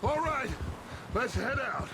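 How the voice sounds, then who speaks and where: An actor playing a charismatic middle-aged American outlaw gang leader in a game cutscene, speaking in an oratorical, rallying manner. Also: male voice, very high voice, animated, takes it slowly, noisy background, full character voice. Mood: brisk, urging. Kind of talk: dramatic game cutscene acting.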